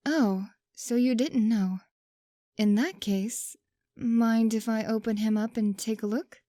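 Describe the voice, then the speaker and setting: Girl playing polite young student in a comic dub, mellow, slightly high, very dry sound. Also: fairly even intonation, slow, no echo. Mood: apologetic, serious.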